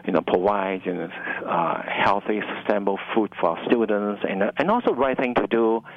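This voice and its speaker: A middle-aged man with a Hong Kong accent, speaking in halting, fragmented phrases as an interview guest. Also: lowish voice, narrow, tinny audio. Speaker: university dining director, interview guest. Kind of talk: conversational radio interview.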